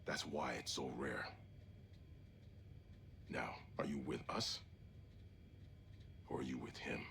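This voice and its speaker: Gravelly, very deep rogue soldier. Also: male voice, steady tone, noisy background, heavily acted. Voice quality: gravelly, low. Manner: menacing, deliberate speechifying. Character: grim, weary male rogue special forces soldier, coldly persuasive. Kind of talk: dramatic video game cutscene acting.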